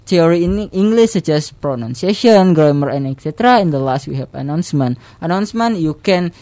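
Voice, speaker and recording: A man with an Indonesian accent, a radio guest explaining his English club in halting, hesitant English. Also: fairly high pitch, expressive, dark tone, no room sound. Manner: hesitant, many fillers and restarts in halting English. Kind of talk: casual radio talk show chat.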